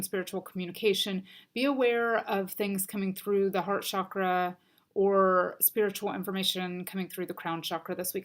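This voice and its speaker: A woman giving a casual weekly crystal and oracle card reading, her voice a little stuffy and scratchy from allergies, speaking gently with frequent ums. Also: medium pitch. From United States.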